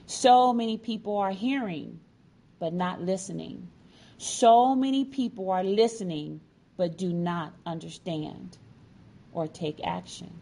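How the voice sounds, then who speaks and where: A woman storyteller speaks at a slow pace in a mellow voice. She delivers a prepared personal, inspirational speech, phrasing it earnestly and deliberately, with measured pauses. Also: fairly low pitch, creaky. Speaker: featured storyteller, sign language educator and advocate.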